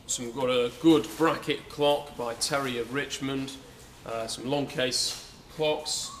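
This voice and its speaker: Young man, auctioneer, casual and full of fillers, mid pitch, faint background hiss. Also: steady tone, slow. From England. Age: young adult. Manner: chatty, hesitant with many fillers. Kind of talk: informal walkaround sales preview. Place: large indoor auction saleroom.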